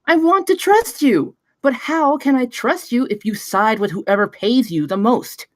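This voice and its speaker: A woman's voice: on the high side, expressive, a little slow, no room sound, studio quality. A woman playing a principled, frantic female space scavenger in a screenplay table read. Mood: angry, hurt.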